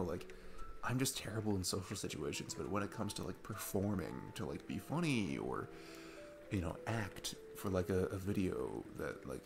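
A young man playing an awkward, chatty single dad on a date in an ASMR roleplay, rambling haltingly and self-deprecatingly. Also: low voice, creaky. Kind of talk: ASMR boyfriend-style roleplay, casual improvised-sounding chat. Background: background music.

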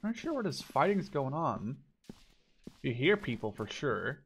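A man's voice: medium pitch, big pitch swings, a little slow, gravelly, slight background noise. A young man giving casual, informal let's play commentary on a game. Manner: informal, sweary. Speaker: gaming video creator.

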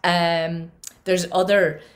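A woman's voice: fairly low pitch, little pitch movement, very slow. A middle-aged woman from northwest Donegal, a native Irish speaker with a Donegal accent, chatting as a guest singer on a video call from home. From northwest Donegal, Ireland. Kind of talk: casual introduction on a video call. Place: home room with a harp behind. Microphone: headphones on a video call.

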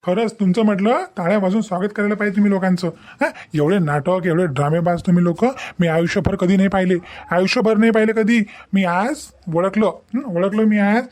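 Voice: mid-range voice, fairly quick, hardly any pauses, darkish tone, natural voice. An actor playing an indignant, bitter young groom in a cartoon family melodrama, accusing and dramatic, with a Vidarbha accent. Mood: bitter sarcastic.

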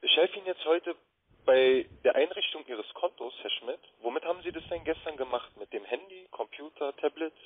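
A man's voice: fairly high pitch, lively intonation, a little fast, crowd noise. A man making a sales phone call as a trading agent. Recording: roomy, muffled lo-fi phone line.

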